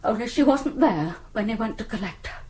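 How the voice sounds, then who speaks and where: A woman's voice: fairly high pitch, animated, fairly quick, darkish tone, close to an everyday voice. An actress playing an anxious, distraught middle-aged British mother in a radio drama, pleading and hesitant but insistent. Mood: subdued, hesitant. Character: anxious, distraught mother of a missing grown daughter.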